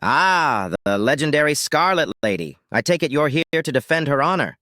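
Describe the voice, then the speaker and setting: A man voicing an old, sharp-witted woman director with a wry, formal manner. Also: a little high, sing-song, moderate pace, no room sound, studio quality, voice pushed up. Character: ancient, sharp-witted female human resources director.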